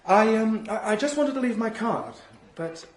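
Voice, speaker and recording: An actor playing an earnest, awkward young aristocratic officer, formally polite and hesitant, in a radio drama. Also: male voice, high-pitched, expressive, normal speed, reverberant.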